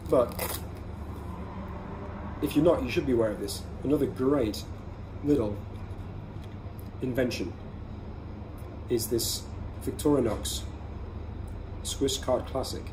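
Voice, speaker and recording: A man with a mid-range voice presents a casual product review as a podcast host. He explains things conversationally, in short measured phrases. Clear ambient noise runs behind his voice. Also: medium pitch.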